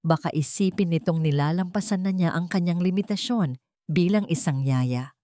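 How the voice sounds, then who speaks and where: A woman's voice: lowish voice, moderate pace, warm tone, no room sound, studio quality. A woman narrating a scripted dramatic story as its storyteller.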